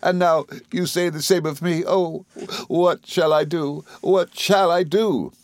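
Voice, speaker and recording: A man's voice: on the high side, normal speed, no room sound, studio quality, voice pushed up, lightly acted. A man voicing a childish young wife in a classic novel reading, plaintive, petulant and easily wounded, given to sobbing exclamations. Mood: tearful, wounded.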